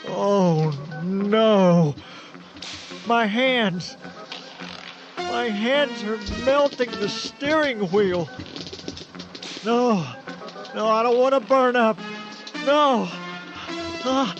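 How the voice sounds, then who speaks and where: A man's voice: extremely high pitch, heavily acted. A man playing a flustered, panicky TV weatherman in a radio drama, stammering and breaking up his phrases. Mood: panicked. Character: flustered, increasingly panicked male TV weatherman burning up. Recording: dull lo-fi audio with music behind.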